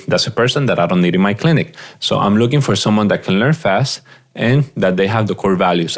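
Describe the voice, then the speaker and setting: A man giving earnest, direct business advice as a practice coach, often repeating his points. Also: on the low side. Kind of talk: advice monologue.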